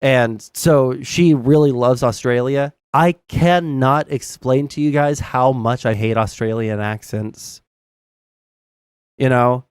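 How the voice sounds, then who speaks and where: A man's voice: medium pitch, takes it slowly, clear, resonant tone, dry recording, studio quality. A young man from Southern Arkansas with a Southern accent, hosting a live stream and giving blunt, rambling commentary full of fillers and swearing. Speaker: streamer host. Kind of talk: casual live-stream commentary reading chat.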